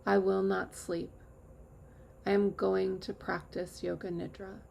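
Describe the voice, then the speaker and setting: A woman teacher leading a guided meditation with calm, measured instructions and long pauses. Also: mid-range voice, gravelly, a little hiss or hum. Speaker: yoga nidra teacher.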